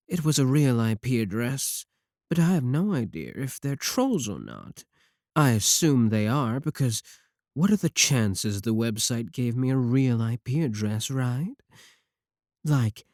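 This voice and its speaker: A man's voice: medium pitch, a little mellow, no echo, clean studio sound. A man playing a falsely innocent, self-justifying VTuber streamer in an ASMR roleplay, explaining himself hesitantly and defensively to his chat. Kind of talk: ASMR roleplay monologue as livestream talk to chat.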